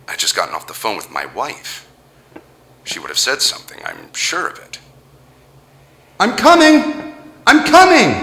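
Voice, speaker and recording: A man's voice: mid-range voice, bright, forceful tone, exaggerated voice. An actor playing a powerful businessman unravelling in dread, theatrical and haunted, in a horror audio drama. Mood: rattled, doubting, then frantic. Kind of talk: horror audio drama, first-person narration and monologue.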